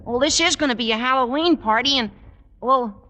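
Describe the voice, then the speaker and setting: A man playing an eager, cheeky teenage schoolboy with a squeaky, cracking voice in a vintage radio comedy. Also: brisk, dull audio. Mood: eager, sheepish. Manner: excitable, gee-whiz earnestness. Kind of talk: 1950s radio comedy acting. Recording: old radio recording, faint noise, studio audience.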